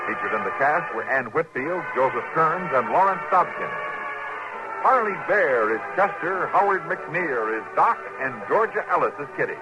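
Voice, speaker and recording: A man's voice: on the high side, dull audio. Male announcer, 1950s radio, formal scripted read, swinging intonation, music backing. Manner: formal, polished.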